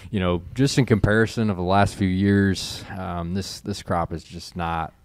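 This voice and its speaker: A man's voice: deep voice, fairly even intonation, normal speed, darkish tone, smooth, dry recording. A man, a corn specialist, talking through crop conditions in a laid-back, chuckling way. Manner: laid-back, chuckling, thinking aloud with fillers. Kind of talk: casual expert chat.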